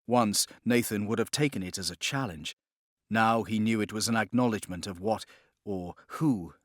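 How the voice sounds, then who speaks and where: A man's voice: fairly low pitch, no room sound. Male audiobook narrator, close and dry. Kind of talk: scripted dramatic narration of a novel.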